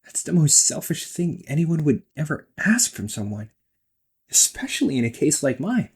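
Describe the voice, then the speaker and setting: A man playing a protective, exasperated ghost brother in an emotional amateur audio roleplay, speaking in a husky voice. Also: a little high, very animated, normal speed, exaggerated voice. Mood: shocked, hurt. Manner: emotional, swearing, swings from outbursts to soft confessions.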